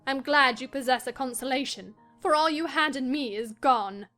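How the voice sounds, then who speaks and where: A woman's voice: sits high, normal speed, pushed and bright. A woman voicing a passionate, delirious, headstrong young wife with a scornful, commanding, dramatic manner in a classic novel reading. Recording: music behind the voice.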